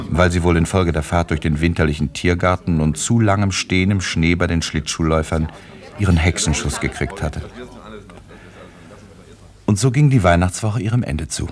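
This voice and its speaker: Low-pitched male radio play narrator.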